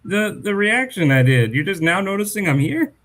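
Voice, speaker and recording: A man's voice: high-pitched, very animated, normal speed, a bit bright. An easygoing man on a live panel with a slightly raised voice. He talks casually and keeps chiming in to agree with the others. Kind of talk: casual livestream panel talk.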